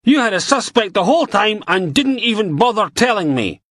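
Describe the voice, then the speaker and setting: A man plays a pompous detective inspector losing his temper. The voice jumps far up and rants loudly. Close, dry reading. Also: high-pitched, big pitch swings, normal speed, no room sound, pitched above the performer's own voice, heavily acted. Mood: furious. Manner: blustering, shouting. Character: bearded male detective inspector, pompous and explosive.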